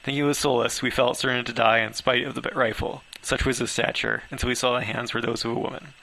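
A man narrates a first-person story in a home recording. His voice is sharp and forceful, with a creaky edge, and his reading is informal and slightly unpolished. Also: mid-range voice, little pitch movement, quick pace, poor audio. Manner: stumbles over words, laughs while reading. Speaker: author reading own story aloud.

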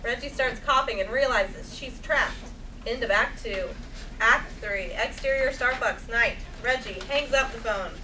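A woman's voice: fairly high pitch, a little slow, bright, forceful tone. A woman reading out stage directions as scripted narration at a table read. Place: small theatre stage, seated before an audience. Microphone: far camera. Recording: noisy stage recording from far off.

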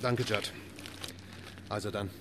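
A man's voice: on the low side, steady tone, slow pace, background noise. A man acting a weary, sceptical middle-aged family man in a German radio drama. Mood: subdued.

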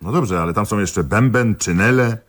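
Very deep, husky, sceptical police investigator. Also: male voice, fairly bright tone. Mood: sceptical, probing. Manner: questioning, dry, reads verse aloud slowly. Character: dogged male police investigator, sceptical, puzzled, politely exasperated. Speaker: actor in a radio play. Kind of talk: theatrical radio comedy dialogue.

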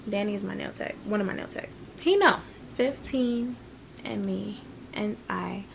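A woman's voice: mid-range voice, a little slow, gravelly, a little hiss or hum, poor audio. Chatty young woman vlogging in her bedroom. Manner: chatty, candid, rambling with fillers. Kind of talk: casual vlog talk to camera. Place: small bedroom indoors. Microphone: camera close at arm's length.

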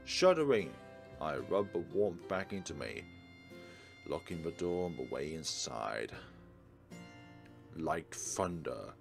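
Male gaming host, slightly deep voice, expressive story reading with casual asides, faint music behind. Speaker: let's play host. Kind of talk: reading a visual novel aloud with casual commentary. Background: soft game music.

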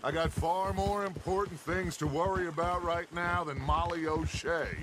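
Man as high-pitched, grandiose American gang boss. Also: fairly even intonation, moderate pace, close to an everyday voice. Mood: brisk, irritated. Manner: theatrical, authoritative. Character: commanding, grandiose male outlaw gang leader. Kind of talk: video game dramatic acting. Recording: horse hooves and outdoor noise behind.

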